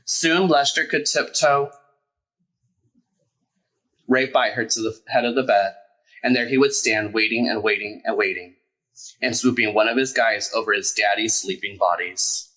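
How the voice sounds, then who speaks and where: A man reading a children's picture book aloud as a storyteller, in an expressive, deliberate way with emphasized words. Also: lowish voice, low-quality recording. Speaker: storyteller, drag performer.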